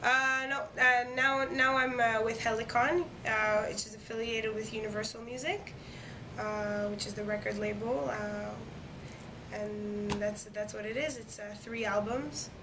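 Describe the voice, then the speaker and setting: A woman's voice: fairly high pitch, steady tone, measured pace. A young woman from Montreal whose first language is French, answering interview questions eagerly, with plenty of fillers and restarts. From Montreal, Quebec. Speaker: singing competition winner. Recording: noticeable background noise.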